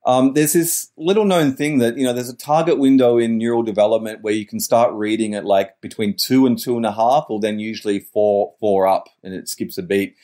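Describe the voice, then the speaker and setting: A man's voice: on the low side, fairly even intonation, brisk, few pauses, dark tone. A middle-aged man talking in a wordy, searching way as a podcast guest, often correcting himself mid-sentence. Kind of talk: reflective interview talk.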